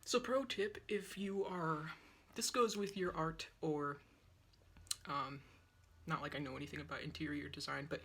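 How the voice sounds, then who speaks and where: Young female art vlogger, breathy and wheezy from a sore throat, rambling self-deprecating chat at a phone close by in a small room. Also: low voice, fairly bright tone. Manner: chatty, self-deprecating, talks while painting. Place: small yellow room at home, indoors. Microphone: phone on a tripod, close-up.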